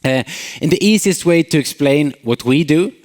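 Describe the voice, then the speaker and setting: A man's voice: on the high side, expressive, normal speed, darkish tone, in a large hall. A man from Sweden with a Swedish accent, giving a friendly conference keynote as a company CEO, with many filler uhs and light jokes. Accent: Swedish, Småland. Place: large conference hall.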